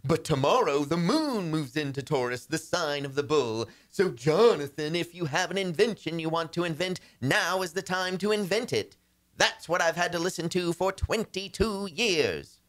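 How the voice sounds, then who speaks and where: An actor doing a fussy middle-aged fellow in an old radio comedy, pitched high for a man. He declaims in a sententious sing-song, like a long-suffering husband reciting mottos. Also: animated, normal speed, a bit bright. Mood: aggrieved.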